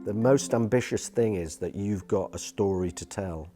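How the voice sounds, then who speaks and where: A man's voice: on the low side, moderate pace, over music. A man working as a radio drama producer, giving casual advice in an interview in a hesitant, thoughtful way.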